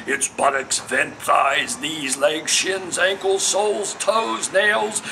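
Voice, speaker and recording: A man's voice: fairly high pitch, vocal fry, pushed and bright, slight background noise, poor audio. A man giving a dramatic, incantatory poetry reading in a declamatory, emphatic, theatrical manner. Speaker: poet reading own work.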